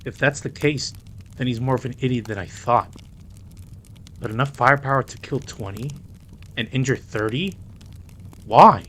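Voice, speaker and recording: A man's voice: medium pitch, normal speed, long pauses, fairly bright tone, a little hiss or hum, lightly acted. A man playing a protective, easygoing mafia boss with a wry streak in an audio roleplay. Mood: incredulous.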